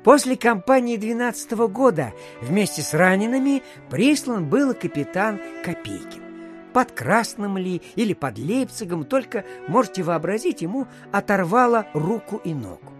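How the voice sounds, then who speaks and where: An actor playing a garrulous provincial postmaster, telling a pompous, rambling tale full of fillers and dramatic pauses in an audio play. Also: male voice, high voice, big pitch swings, soft background music. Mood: pompous storytelling. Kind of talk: theatrical storytelling in an audio play.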